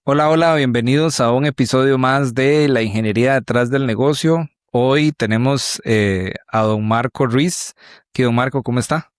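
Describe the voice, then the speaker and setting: Friendly male podcast host, mid-pitched. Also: normal speed, dry recording, clean studio sound. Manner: friendly, polite, brief prompts. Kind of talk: interview hosting.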